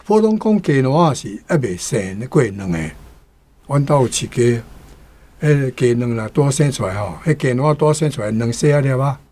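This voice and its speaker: A man's voice: mid-range voice, animated, moderate pace, darkish tone. A man casually explaining the wording of a story, rambling with fillers. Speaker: guest commentator. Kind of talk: casual explanation of word usage.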